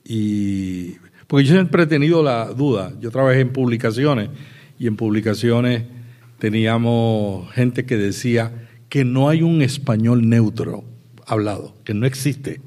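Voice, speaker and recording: A man from Puerto Rico answers an audience question on a panel. His voice is dark and mellow, and he talks in a reflective, anecdotal way with frequent pauses and restarts. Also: medium pitch. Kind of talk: conference panel talk. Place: conference hall with audience.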